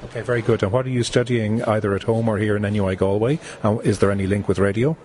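Quick-talking radio host guy, lowish voice, bit of hiss. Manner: brisk, steady questioning. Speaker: radio presenter. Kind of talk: radio interview.

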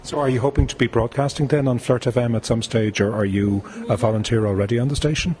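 A man presenting a student radio show, asking guests steady, brisk questions. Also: mid-range voice. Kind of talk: radio interview.

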